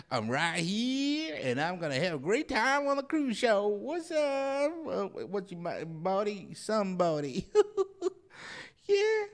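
A man voicing a goofy, forgetful American cowboy who drawls, chuckles and rambles, speaking into a boom-arm desk mic in a small home studio. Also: big pitch swings, slow pace, exaggerated voice. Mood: jolly.

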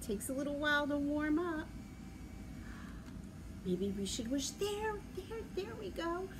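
A middle-aged woman talking to young children as a library story time host, in an animated, sing-song way with simple questions. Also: sits high, measured pace. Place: large library room with big windows, indoors. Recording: clear background noise, room ambience.

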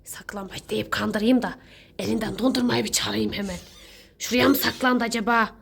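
A woman's voice: fairly high pitch, brisk, creaky. A woman playing a greedy, ever-hungry neighbour intruder who whines and mutters in a children's pretend-play skit. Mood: scheming. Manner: whining, pleading, muttering to self. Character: whiny, greedy, ever-hungry pot-bellied neighbour intruder scheming for snacks.

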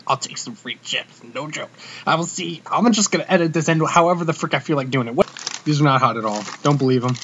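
A young man chatting casually to camera in a cocky, slangy, animated way, like a comedic vlogger. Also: a little high, husky. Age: young adult. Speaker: vlogger, prank caller. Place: small room indoors. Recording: dull webcam audio with noticeable hiss.

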